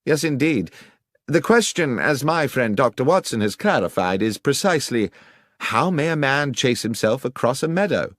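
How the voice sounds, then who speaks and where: A man's voice: medium pitch, very animated, normal speed, a bit bright, no echo, studio quality, pitched above the performer's own voice. A man voicing a confident, incisive detective in an audiobook, speaking formally and precisely as he lays out deductions. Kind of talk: audiobook character acting.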